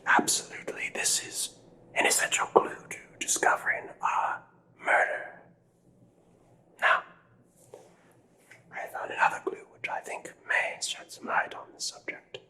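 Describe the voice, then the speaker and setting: Guy acting as a nervous polite doctor, all whispered asmr, old-fashioned wording, crisp and close. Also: a little slow, long pauses, bright, forceful tone. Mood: earnest, curious. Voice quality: breathy whisper. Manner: formal, hesitant, old-fashioned polite phrasing. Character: nervous, courteous, perplexed doctor aiding a murder investigation.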